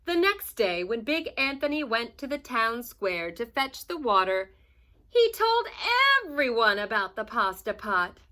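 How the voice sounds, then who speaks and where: A woman's voice: a little high, sing-song, a little slow, pushed and bright. A woman reading a children's story aloud like a teacher, expressively and with dramatic emphasis. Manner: dramatic emphasis, asks listeners questions.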